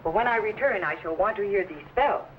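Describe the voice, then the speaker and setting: A muffled, faintly noisy old radio recording of a woman playing a loving but firm Quaker mother. She speaks in measured, old-fashioned phrases with a very lively rise and fall. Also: medium pitch, lightly acted. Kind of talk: old-time radio drama acting.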